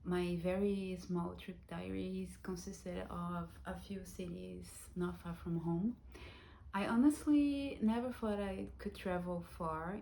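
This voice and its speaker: A woman in her thirties from Brazil, telling her own life story in a reflective, soft-spoken vlog, with Portuguese as her first language. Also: lowish voice, vocal fry, slight background noise. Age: 30s. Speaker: vlogger.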